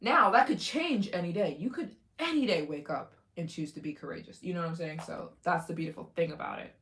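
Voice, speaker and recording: A woman's voice: fairly low pitch, fairly quick, fairly bright tone. A woman hosting a casual livestream, chatting with viewers, thanking donors and giving motivational talk about taking risks. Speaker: livestream host. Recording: echoey room.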